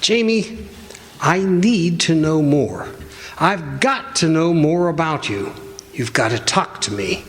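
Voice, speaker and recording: An actor playing an earnest, stubborn newspaper reporter, insistent and imploring, in an old-time radio drama. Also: male voice, on the high side, expressive, slow. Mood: urgent. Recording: echoey hall stage recording.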